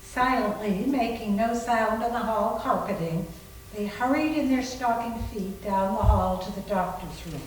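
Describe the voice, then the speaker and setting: A woman's voice: medium pitch, measured pace. A woman narrating a scripted suspense story as part of a readers theater. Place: reverberant room at a library reading. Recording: very echoey, distant, noisy.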